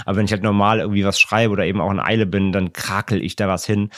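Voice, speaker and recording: Low-voiced man chatting on a podcast. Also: rapid-fire, no echo, clean studio sound. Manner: relaxed, explanatory, rambling with repeats. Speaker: podcast co-host. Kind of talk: casual podcast chat.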